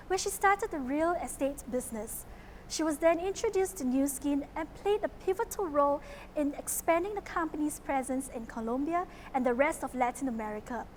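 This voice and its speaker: A woman hosts an event, reading scripted introductions in a loud, projected, upbeat way. Her voice sits high, with faint noise behind it. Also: moderate pace. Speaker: event host.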